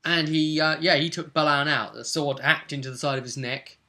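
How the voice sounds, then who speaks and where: A man's voice: a little high, flat delivery, fairly quick, pushed and bright. A man, a game master casually recounting a tabletop role-playing session in a chatty storytelling way. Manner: chatty, conversational storytelling with tag questions.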